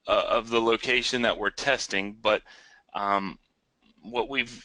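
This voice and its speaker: Low, bright-voiced man presenting haltingly. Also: no echo, low-quality recording. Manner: hesitant, halting delivery with repeated words. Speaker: webinar presenter. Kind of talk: unscripted technical presentation.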